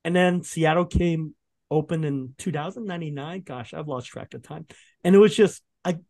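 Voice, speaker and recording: Animated, laughing man telling stories. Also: fairly high pitch, normal speed, creaky. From Vietnam. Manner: animated storyteller, laughs often, frequent restarts. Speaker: guest, food writer. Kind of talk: casual podcast interview.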